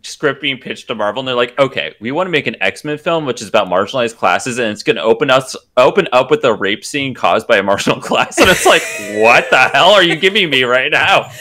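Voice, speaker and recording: A man's voice: medium pitch, lively intonation, fairly quick, hardly any pauses, pushed and bright. A man bantering casually on a video call, incredulous and sarcastic. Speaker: table-read participant. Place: home room, indoors, video call.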